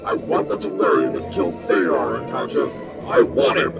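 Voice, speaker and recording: Vengeful alien warrior, wildly swooping. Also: male voice, on the low side, huge pitch swings, moderate pace, gravelly, loud music behind the voice, heavily acted. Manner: intense, formal, military. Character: vengeful alien warrior officer, obedient yet bloodthirsty. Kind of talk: science fiction audio drama acting.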